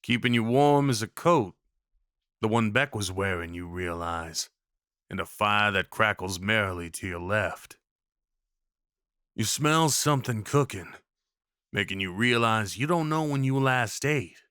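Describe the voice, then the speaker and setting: Male narrator for scripted second-person audio fiction: measured pace, bright tone, close and dry. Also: fairly low pitch, animated, clean tone, no echo. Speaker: audio roleplay performer and narrator.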